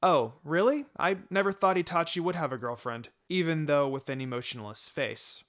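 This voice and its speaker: A man's voice: a little high, normal speed, fairly bright tone, lightly acted. A man voicing a proud, sarcastic young female ninja who guards her feelings, in a story reading. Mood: fake shocked.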